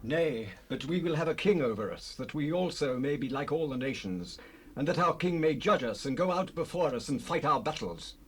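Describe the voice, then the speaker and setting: Husky insistent Israelite. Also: male voice, on the high side, animated, moderate pace, low-quality recording. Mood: defiant. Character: stubborn man of Israel demanding a king. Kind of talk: dramatized scripture reading.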